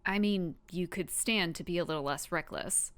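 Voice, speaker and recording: A woman's voice: fairly low pitch, normal speed, a bit bright, clean tone, natural voice. A woman playing a bossy but caring heroine in an audio drama, matter-of-fact and dry in manner. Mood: blunt concern.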